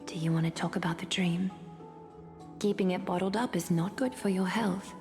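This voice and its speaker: Woman as composed, creaky low-voiced game heroine. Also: steady tone, moderate pace, a little mellow, lightly acted. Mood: quiet concern. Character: composed, protective young woman, quietly melancholic and menacing. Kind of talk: video game voice acting. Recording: long reverb, faint music behind.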